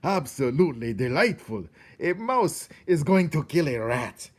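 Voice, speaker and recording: A man's voice: fairly high pitch, big pitch swings, a little slow, pitched above the performer's own voice. A man voicing a gleefully cruel, swooping rat ringleader. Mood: gloating delight. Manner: theatrical, relishing, jeering.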